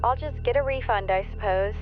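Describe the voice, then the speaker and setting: A woman's voice: a little high, fairly quick. A woman playing an uneasy, hesitant caller in a radio horror drama, heard through a phone-line filter. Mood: hesitant, resigned. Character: uneasy woman caller haunted by eerie numbers. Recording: thin band-limited phone line. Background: music.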